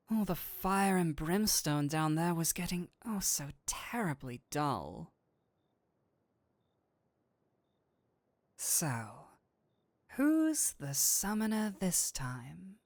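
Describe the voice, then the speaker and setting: A man playing a flirtatious incubus demon in a roleplay audio drama, with a teasing, theatrical, drawn-out delivery. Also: high-pitched, no room sound, heavily acted. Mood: theatrical, languid. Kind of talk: scripted roleplay audio drama, addressing the listener.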